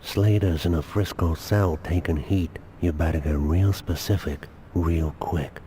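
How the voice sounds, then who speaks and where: An actor playing a cold, controlling male crime boss in a video game cutscene, speaking with deliberate menace. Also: sits low, fairly even intonation, mellow, a little hiss or hum. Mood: cold suspicion. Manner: deliberate, threatening.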